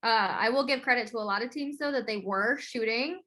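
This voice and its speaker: A woman's voice: fairly high pitch, brisk, pushed and bright. A woman hosting a sports podcast in a casual, informal, conversational way as she steers the discussion.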